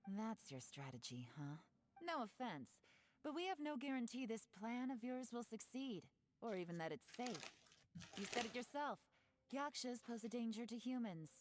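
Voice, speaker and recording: A woman's voice: a little high, big pitch swings, normal speed, slight background noise. A woman playing a cool, shrewd, sardonic female operative in a video game, composed and dry in her pointed delivery. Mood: challenging.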